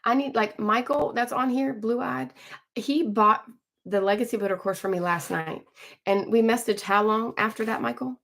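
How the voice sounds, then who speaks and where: A woman in her forties hosting a casual live stream from home, chatting with viewers, greeting them by name and often clearing her throat. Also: fairly high pitch, normal speed, a bit bright. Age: 40s. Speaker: livestream host.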